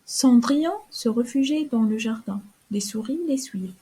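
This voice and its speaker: A woman narrating a children's cartoon tale in a calm, clear storytelling manner. Her voice is warm and mellow, a little high, with a breathy softness. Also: moderate pace.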